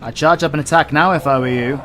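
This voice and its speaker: A man's voice: fairly high pitch, fast, noisy background, close to an everyday voice. A man with a British accent playing a stern, sardonic demon hunter who mentors his apprentice in a fantasy audio roleplay, dry and commanding. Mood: urgent, exasperated. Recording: music behind the voice. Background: music.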